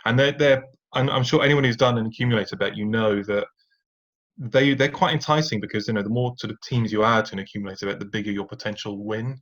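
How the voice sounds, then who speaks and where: A man with a rather low voice speaks as a podcast guest, reflective and candid. He hesitates often and restarts his sentences. The recording sounds dull and lo-fi. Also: fast, a bit bright. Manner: reflective, many fillers and restarts, occasional rueful laughs. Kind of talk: candid personal story.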